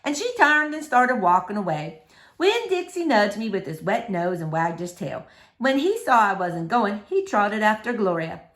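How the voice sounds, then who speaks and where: A woman's voice: medium pitch, big pitch swings, normal speed, a bit bright. A woman reading a children's novel aloud as a storyteller addressing young listeners. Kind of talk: expressive children's novel narration.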